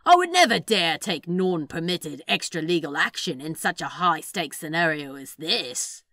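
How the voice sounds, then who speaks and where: A teasing male demon from fan fiction as a woman acts him out, lowish and very animated. The delivery is sly, sardonic and casually drawled, recorded close and dry. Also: normal speed, no echo. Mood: smug, mock-offended. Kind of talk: fan fiction dialogue reading.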